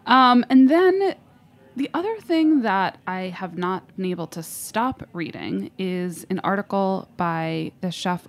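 A woman co-hosting a casual food show, enthusiastic and quick to laugh. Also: fairly low pitch. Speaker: podcast co-host. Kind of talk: casual chat.

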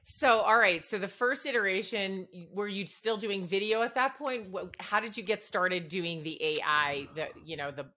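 A woman's voice: mid-range voice, normal speed, few pauses, bright, forceful tone. A woman hosting a casual interview, asking questions in a friendly, encouraging way. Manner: friendly, encouraging, brief backchannels. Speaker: podcast host.

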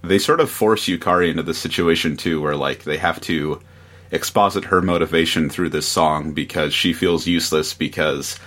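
A man on a podcast panel chatting casually about a review, halting, with exasperated amusement. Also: a bass voice, fairly bright tone.

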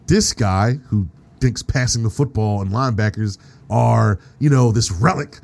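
A man hosting a casual podcast chat, excitable and profane, laughing often. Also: fairly low pitch, normal speed, creaky. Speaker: podcast host.

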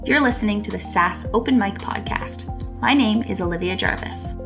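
Gravelly-voiced woman podcast host. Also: medium pitch, moderate pace, over music. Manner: upbeat, smooth scripted delivery. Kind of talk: scripted intro then interview.

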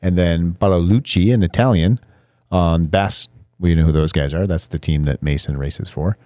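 A man co-hosting a podcast, joking and trading quick banter in casual chat. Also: low voice, dark tone, dry recording, studio quality. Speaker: podcast co-host.

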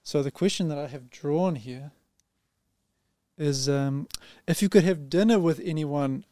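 A native English-speaking man, a department head, chatting as a guest on a podcast. His voice is mellow and smooth, a little higher than most men's. His talk is friendly and relaxed, with "you know" fillers, and the sound is dry. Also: unhurried, no echo. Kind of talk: casual podcast conversation.

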